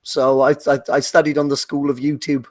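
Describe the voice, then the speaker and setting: A man's voice: mid-range voice, flat delivery, brisk, a little mellow, dry recording, studio quality. A young adult man from South Wales with a Welsh accent, chatting as a podcast guest and rambling with lots of fillers and restarts. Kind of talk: casual chat.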